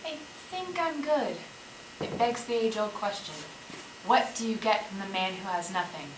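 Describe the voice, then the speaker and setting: A woman's voice: mid-range voice, moderate pace, natural voice. A woman acting in a stage play as a worried, teasing companion keeping watch among zombies. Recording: echoey stage, clear background noise.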